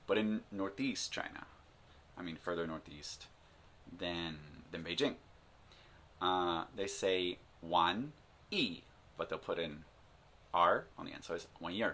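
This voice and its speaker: A very low-voiced man talks casually to the camera. He rambles with many fillers and restarts. A faint hiss sits behind him. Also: a little slow, bright, forceful tone. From United States. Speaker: language-learning channel host.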